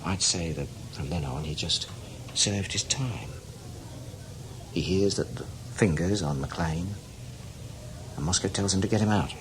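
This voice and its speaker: Deep-voiced, world-weary old spy played by an actor. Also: male voice, background noise, close to an everyday voice. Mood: reflective, cynical. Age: older. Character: seasoned male British spy, world-weary and cynical. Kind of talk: radio drama acting, conversational.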